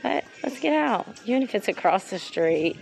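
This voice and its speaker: A mom vlogging with heartfelt, rambling encouragement about faith and getting through hard times. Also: female voice, medium pitch, lively intonation, normal speed, vocal fry, low-quality recording. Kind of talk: motivational faith vlog talk.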